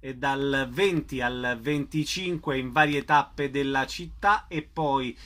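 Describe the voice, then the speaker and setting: A man hosting a radio interview, polite and brisk as he sums up and wraps up. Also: mid-range voice, pushed and bright. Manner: brisk, courteous, wraps up segments. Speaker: radio host.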